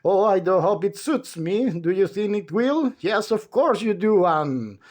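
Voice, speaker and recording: Male reader as cheerful storybook chatterbox: high, swooping voice, fussy, self-interrupting, endlessly rambling. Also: animated, unhurried, darkish tone. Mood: excited, delighted.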